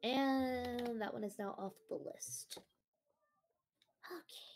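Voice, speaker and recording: A woman's voice: on the high side, takes it slowly, vocal fry, a little mellow, a little hiss or hum. A young woman chatting casually during a gaming stream in a playful, teasing way. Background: game music.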